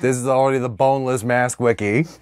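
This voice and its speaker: A man's voice: mid-range voice, fairly even intonation, fast talker, darkish tone, smooth, no echo. Wry male podcast co-host, dry close sound. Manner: wry, riffing quips. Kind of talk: casual comedic chat.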